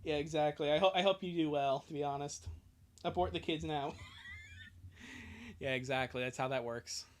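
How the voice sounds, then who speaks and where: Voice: mid-range voice, normal speed, pauses often, a little hiss or hum. Casual, sarcastic streamer riffing on comics. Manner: sarcastic, jokey, swearing. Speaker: streamer and dub performer. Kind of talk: casual live-stream commentary on a fan comic.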